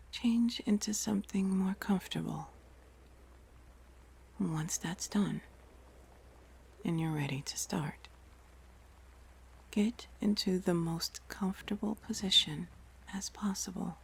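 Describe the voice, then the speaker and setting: Slow whispering woman guiding relaxation. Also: on the low side, a little mellow. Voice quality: breathy whisper. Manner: slow, soothing, deliberate phrasing with long pauses. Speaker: guided meditation narrator. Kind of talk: whispered guided relaxation, ASMR-like scripted narration.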